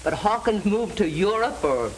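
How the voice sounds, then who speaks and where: A woman's voice: mid-range voice, animated, moderate pace, slight background noise, natural voice, low-quality recording. An actress playing a blunt, aggrieved older landlady in a 1930s film.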